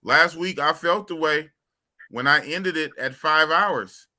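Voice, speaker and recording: Loud, slow-talking bright-voiced man. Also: fairly high pitch. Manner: loud, slow drawl, humble. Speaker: live-stream host. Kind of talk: casual live-stream chat.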